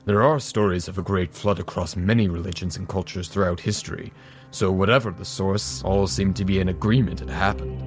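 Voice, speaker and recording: A man playing a scholarly professor gravely telling ancient lore in an audio drama, measured and erudite. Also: sits low, fairly even intonation, soft background music, natural voice. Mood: grave, intrigued. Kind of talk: fan audio drama acting.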